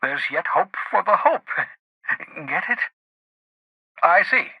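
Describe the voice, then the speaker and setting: An actor playing a cantankerous, socially awkward scientist in a video game, raspy, with a fussy, wordy, theatrical delivery. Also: male voice, high voice, very animated, slow, no room sound, lo-fi sound, full character voice. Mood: earnest, explanatory, then sheepish. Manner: fussy, wordy, dramatic, with muttered asides.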